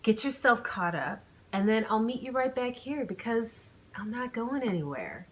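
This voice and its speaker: A woman's voice: on the high side, sing-song, normal speed, slight background noise. A woman speaking as an author and channel host, with an informal, storytelling way of talking. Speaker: author and channel host reading own novel. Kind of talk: chatty vlog intro, then audiobook narration.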